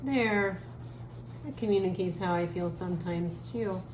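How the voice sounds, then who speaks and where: A woman giving a casual art lesson for children, musing gently aloud as she draws. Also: on the low side. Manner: gentle, musing, talks while drawing with trailing pauses. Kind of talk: casual creative drawing lesson, thinking aloud. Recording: echoey room with clear background noise.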